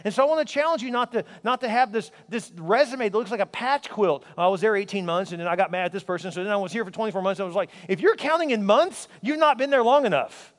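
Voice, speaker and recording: High-voiced man preaching in a reverberant hall. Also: quick pace, hardly any pauses. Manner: energetic, conversational preaching with quips to the congregation. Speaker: pastor. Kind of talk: sermon. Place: large church auditorium.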